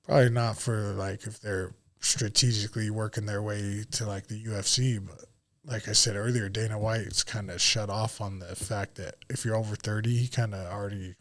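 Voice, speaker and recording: A man's voice: lowish voice, fairly even intonation, normal speed, a bit bright. An American man in his late thirties chatting casually as a fighter on a podcast, slangy and swearing freely. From Utah, United States. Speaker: MMA fighter, podcast guest. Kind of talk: casual podcast interview chat.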